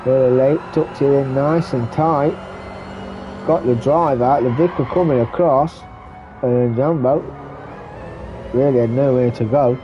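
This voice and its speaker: A man giving relaxed running commentary on a sim race, stuffy and muffled from a cold. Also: mid-range voice, unhurried, pauses often. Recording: muffled lo-fi recording with background noise.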